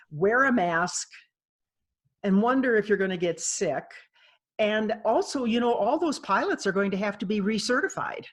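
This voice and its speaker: A woman author speaking as a guest on a video call, chatty and animated, laughing often. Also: mid-range voice, husky. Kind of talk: video call interview, conversational.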